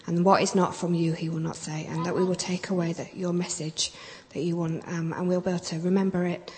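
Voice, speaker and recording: A woman prays earnestly in a low, level voice, heard from some distance from the mic. The hall adds a long reverb to her words. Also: level tone, a little fast, a little mellow. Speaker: congregation member. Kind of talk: spoken prayer.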